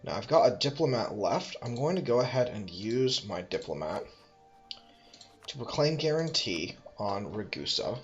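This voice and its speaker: A man's voice: mid-range voice, very animated, moderate pace, dull audio, soft background music. A man thinking aloud as he plays a strategy game, musing, correcting himself and making dry asides. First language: English. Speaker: let's play host.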